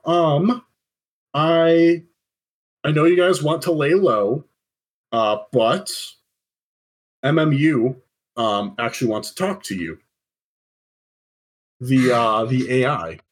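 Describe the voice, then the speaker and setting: A man's voice: mid-range voice, slow pace, pauses often, breathy edge. A man playing a hesitant, stammering underworld middle boss who uneasily passes on orders in a tabletop game. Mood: uneasy.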